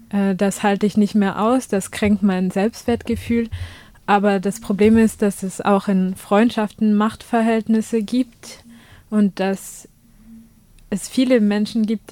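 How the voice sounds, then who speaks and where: A woman in a discussion who speaks in a measured and thoughtful way. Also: medium pitch, dark tone, no echo. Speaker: discussion participant. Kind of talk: reflective discussion.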